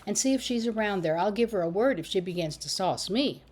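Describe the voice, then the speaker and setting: A woman voicing a bold, quick-tempered young boy in a storybook reading, blustering and valiant. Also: mid-range voice, expressive, darkish tone, slightly rough.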